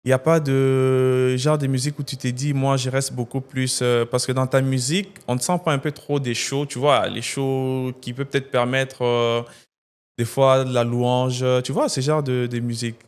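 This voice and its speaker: Man, interviewer, long rambling questions, lots of fillers, medium pitch. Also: fairly even intonation, normal speed, smooth.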